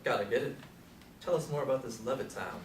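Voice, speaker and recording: A man's voice: medium pitch, quick pace, natural voice. A man acting in a stage play as an aging, opinionated Beat hipster, wry and declamatory. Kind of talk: stage play scene reading. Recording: echoey room from far off, faint noise.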